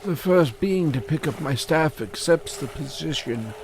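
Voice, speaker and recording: An actor playing a solemn, kindly ferryman spirit of the underworld in an audio drama, grave and measured. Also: fairly high pitch, lively intonation, creaky, slight background noise.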